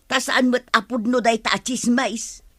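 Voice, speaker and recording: A woman as a bright, gossipy Ilocano neighbour. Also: fairly high pitch, fairly even intonation, moderate pace, dry recording. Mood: confiding. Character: gossipy female village neighbour, eager busybody. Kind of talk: Ilocano comedy radio drama acting.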